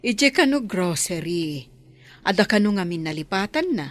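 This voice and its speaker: A woman as a lively, kindly Ilocano auntie. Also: medium pitch, normal speed, lightly acted. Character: kindly older village aunt. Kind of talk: Ilocano radio drama acting.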